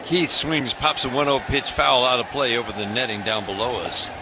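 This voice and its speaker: Radio baseball announcer guy, brisk, gets higher on big plays, noisy broadcast. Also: fairly even intonation. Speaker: play-by-play announcer.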